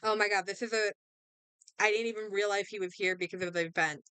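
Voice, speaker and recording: A woman's voice: medium pitch, steady tone, quick pace, bright, forceful tone, clean tone, dry recording. A young woman with a sore throat, chatting casually and thinking aloud while playing a game. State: sore throat, losing her voice; hiccups. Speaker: gaming streamer.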